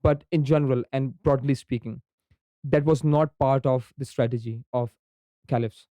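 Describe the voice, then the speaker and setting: Pakistani man explaining, mellow smooth voice. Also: medium pitch, steady tone, normal speed, no echo, rough recording. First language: Urdu. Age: young adult. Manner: frequent ums and repeated "right?" tags. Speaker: podcast guest. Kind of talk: conversational explanation.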